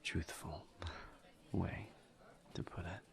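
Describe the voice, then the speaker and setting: A man playing a gentle, guarded incubus in an intimate audio roleplay, murmuring breathily and haltingly with careful pauses. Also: steady tone, whispered, exaggerated voice. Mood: hesitant, thoughtful. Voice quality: breathy, creaky, low murmur. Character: gentle, guarded male incubus demon, earnest and reserved. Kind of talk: intimate roleplay audio drama, partly whispered.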